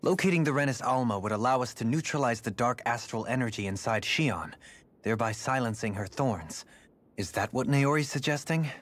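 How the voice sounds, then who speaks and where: A man's voice: medium pitch, lively intonation, natural voice. A man playing a formal, cautious scholarly nobleman in a fantasy video game cutscene. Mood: analytical. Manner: formal, measured wording.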